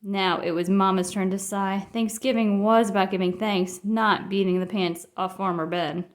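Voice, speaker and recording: A woman reading a children's picture book aloud as an expressive storyteller. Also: mid-range voice, normal speed. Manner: expressive, acts out dialogue.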